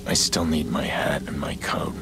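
A male actor playing a weary, guilt-ridden middle-aged vigilante in a game, speaking tersely in a low, hushed, gravelly voice. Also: moderate pace, music in the background. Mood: weary, stubborn. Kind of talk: cinematic game drama acting. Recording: rain and ambience behind the voice.

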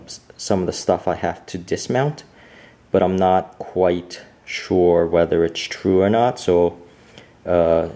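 Low slow guy, kinda mellow, umms and restarts a lot, home diy vibe. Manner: hesitant, many fillers and restarts. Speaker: home fixer-upper showing a manual. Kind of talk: casual off-camera walkthrough.

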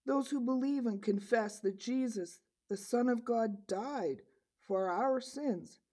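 A woman voicing an earnest disciple puppet, telling a story to children in a deliberate manner. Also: fairly high pitch, mellow. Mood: solemn. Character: earnest disciple puppet recounting Jesus' return.

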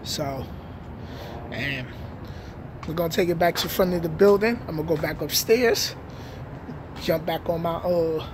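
Middle-aged New York man, high voice, easygoing reflective street talk, phone vlog on a night street with loud traffic noise. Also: a little slow, pauses often. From New York City. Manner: laid-back, reflective, slangy street talk. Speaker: vlogger. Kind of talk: casual walking vlog. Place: outdoors at night on city streets and housing project grounds. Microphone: phone held at arm's length.

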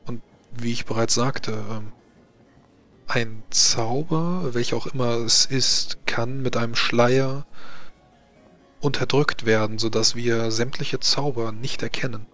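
A man playing a grave, worried court mage in a tabletop game, explaining things in a formal, halting, deliberate way. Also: medium pitch, fairly even intonation, a bit bright, soft background music. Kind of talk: tabletop roleplay in character.

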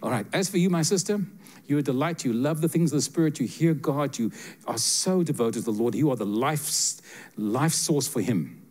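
An older man giving prophetic words to listeners in a church sanctuary, speaking with a handheld mic in a lively, joking, direct manner. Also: medium pitch, normal speed. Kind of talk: prophetic ministry to congregation. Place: church sanctuary with seated congregation.

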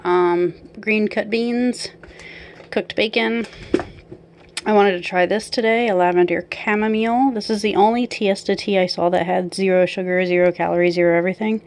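A woman in her thirties chatting casually as she walks through her groceries in her kitchen at home, with plenty of ums and quick self-corrections. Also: mid-range voice, steady tone, a little mellow, a little hiss or hum. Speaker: vlogger and mother. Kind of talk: casual grocery haul walkthrough.

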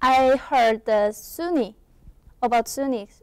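A young Korean woman speaks English as a second language, in a smooth, clear and somewhat high voice. She talks slowly, in halting simple phrases broken by soft laughs. Her lapel mic gives a close, dry studio sound. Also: no echo. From South Korea. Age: young adult. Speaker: student guest. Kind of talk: studio interview. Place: small college TV studio.

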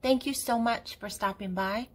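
Older woman, husky, reading to children. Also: fairly high pitch, normal speed. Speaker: children's book reader and channel host. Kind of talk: read-aloud of a children's picture book.

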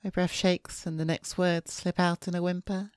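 A woman streamer reading visual novel narration aloud in a subdued, measured way. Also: on the low side, little pitch movement, clean tone, dry recording, studio quality. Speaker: VTuber streamer. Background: faint game music.

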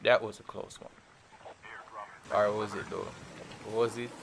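A man's voice: fairly low pitch, fairly even intonation, measured pace, gravelly, a little hiss or hum. A man with a Jamaican accent giving loose, rambling commentary over his mobile shooter gameplay, full of fillers and repeats. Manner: laid-back and rambling, with many fillers and repeats. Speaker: gaming channel host.

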